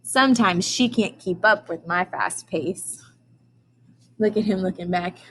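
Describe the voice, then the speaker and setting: A woman's voice: on the low side, a little slow, fairly bright tone. Woman teaching young children, noisy recording. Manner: prompting with questions, enunciating key sounds and words. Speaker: early-grades teacher. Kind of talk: read-aloud lesson for young children.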